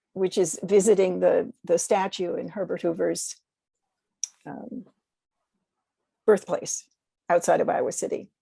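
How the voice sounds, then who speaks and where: An American woman speaking as a guest poet in a reflective interview, halting and searching for words as she corrects herself. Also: lowish voice, vocal fry, a little mellow, breathy edge, dry recording. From United States.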